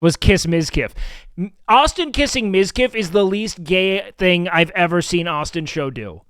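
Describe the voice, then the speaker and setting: Nasal dude streaming from home, high voice jumping all over, dry close mic. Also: male voice, a little slow, smooth, dry recording. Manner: loud, excitable, swears a lot. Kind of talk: casual live-stream chat reacting to clips. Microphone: studio mic with noise gate.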